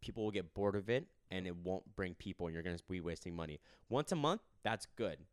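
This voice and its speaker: A man's voice: deep voice, animated, fairly quick, no room sound, clean studio sound. A man hosting a podcast, chatting casually and telling stories while acting out the people he quotes. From Arizona. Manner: storytelling, acts out quoted people. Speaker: podcast host and stand-up comedian.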